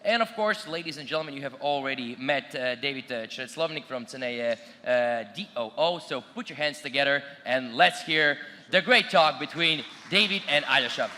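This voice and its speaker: A man's voice: medium pitch, animated, moderate pace, few pauses, bright, forceful tone. A man acting as conference emcee, introducing speakers in an upbeat, announcer style. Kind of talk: stage announcement. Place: conference hall. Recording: echoey conference hall.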